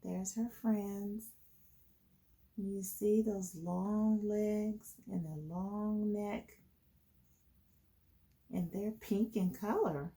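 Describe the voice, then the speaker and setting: A woman's voice: medium pitch, steady tone, very slow, pauses often, dark tone. A woman hosting a children's storytime, reading a picture book aloud and explaining it to young listeners. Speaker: children's storytime host and reader.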